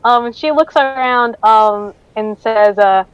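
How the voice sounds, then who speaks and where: A woman with a fairly high voice improvises tabletop narration and dialogue. She speaks haltingly, recorded close and dry with no room sound. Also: smooth, dry recording, lo-fi sound. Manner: hesitant, halting. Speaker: player in a tabletop roleplaying game. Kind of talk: improvised in-character roleplay and narration.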